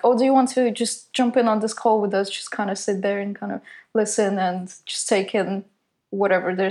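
Woman, young adult, non-native English speaker, animator, casual interview, hesitant, many fillers. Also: mid-range voice. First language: not English. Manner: hesitant, lots of "kind of" fillers. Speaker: animator at a studio.

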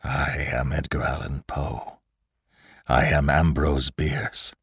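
A man's voice: extremely low pitch, flat delivery, slow, a bit bright, husky, dry recording, clean studio sound, heavily acted. A man voicing a delirious dying man who raves and cries out as he is carried to the flames. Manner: raving, rising to a wild scream. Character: dying man raving in a crematorium.

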